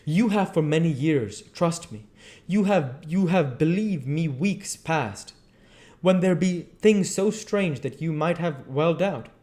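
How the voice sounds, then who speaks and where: A man's voice: a little high, lively intonation, slow, dark tone. A man voicing an old male professor who speaks in an earnest, pleading, old-fashioned way.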